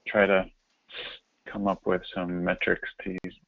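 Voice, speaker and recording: Casting: man, deep voice, hesitant casual colleague on a work call, phone-band sound. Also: completely flat delivery, measured pace, creaky, no room sound. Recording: thin, narrow-band phone line.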